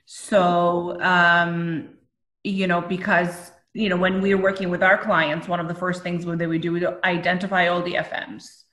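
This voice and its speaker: A woman with a slightly low voice sounds roomy and echoing on the recording. She hosts as a consultant, talking through practical points in a conversational way. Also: little pitch movement, measured pace, fairly bright tone. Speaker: host and consultant. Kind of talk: conversational discussion.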